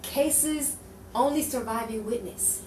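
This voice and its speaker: A woman's voice: on the high side, takes it slowly, a little mellow. A woman playing a frightened, distrustful crime witness in an improvised noir radio play. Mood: distrustful, indignant. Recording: echoey room, distant mic, faint noise.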